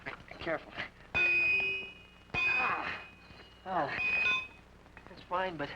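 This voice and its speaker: An actor playing a nervous, jumpy young outlaw in handcuffs, in a 1940s radio drama. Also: male voice, high voice, animated, very slow, full character voice. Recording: old radio recording with loud hiss and clicks.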